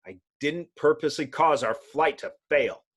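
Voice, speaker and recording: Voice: mid-range voice, animated, moderate pace, breathy edge. A performer voicing an earnest, authoritative male scientist with a declarative, melodramatic delivery in a comic-book drama. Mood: fed up. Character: Reed, earnest authoritative scientist man, strained.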